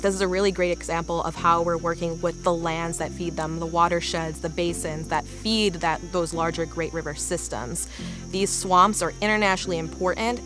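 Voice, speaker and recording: Earnest lower-voiced woman over music. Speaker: field research scientist. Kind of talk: documentary interview, explanatory. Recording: music bed under voice.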